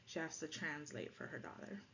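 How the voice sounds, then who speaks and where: A woman's voice: sits low, expressive, brisk, a bit bright. A woman who natively speaks Jamaican Patois gives an explanatory academic presentation, often checking in with "right?". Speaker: presenter, lecturer. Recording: dull lo-fi audio, faint noise.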